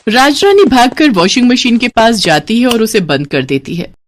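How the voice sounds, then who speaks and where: High-voiced woman narrating a story. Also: expressive, normal speed, slight background noise.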